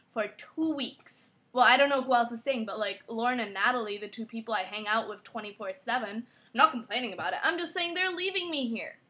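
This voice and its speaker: A woman's voice: sits high, pushed and bright. A young woman from Switzerland chatting casually to camera in a rambling, filler-heavy way, sounding strained while doing a headstand. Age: young adult. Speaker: vlogger. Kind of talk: casual chat.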